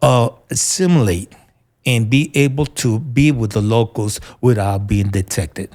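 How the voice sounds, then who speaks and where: A middle-aged man with a New York accent, a military veteran answering interview questions earnestly, often pausing to search for words. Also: medium pitch, a little mellow. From United States. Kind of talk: conversational interview.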